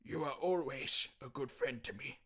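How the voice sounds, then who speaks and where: A man's voice: mid-range voice, normal speed, pushed and bright, breathy edge, pitched above the performer's own voice. A man voicing a nervous, deferential old miser in a theatrical audiobook, with a faltering, pleading manner. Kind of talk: theatrical audiobook character acting.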